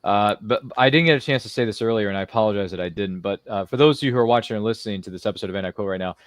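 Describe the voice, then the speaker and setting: A man's voice: on the low side, very fast, dry recording, studio quality. A man hosting a live-streamed interview show, chatting casually in a jokey, rambling way. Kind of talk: casual chat.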